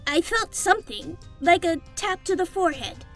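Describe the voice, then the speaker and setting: A woman's voice: very high-pitched, animated, moderate pace, fairly bright tone, faint music behind. A woman playing a chatty, nervous young goblin girl, apologetic and eager, in an audio drama. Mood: excited, eager. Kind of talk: audio fiction character acting.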